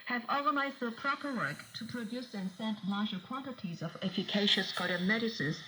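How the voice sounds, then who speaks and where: Noisy shortwave radio newswoman, formal and solemn. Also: on the high side. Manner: solemn, official, deliberate phrasing. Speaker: news announcer. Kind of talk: formal scripted news reading. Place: radio broadcast studio, received over shortwave.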